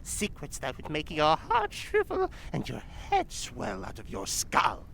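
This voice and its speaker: A woman's voice: sits low, wildly swooping pitch, a little slow, vocal fry, fairly bright tone, slight background noise, heavily acted. A woman playing a pompous, menacing old demon lady with florid, archaic speech in an audio drama. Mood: grandiose menace. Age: older.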